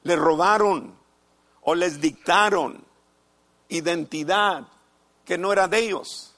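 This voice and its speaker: A middle-aged man preaches with an unhurried, measured delivery. His voice sits on the higher side, and he repeats phrases and lets pauses hang between them. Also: a bit bright. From United States. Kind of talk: sermon.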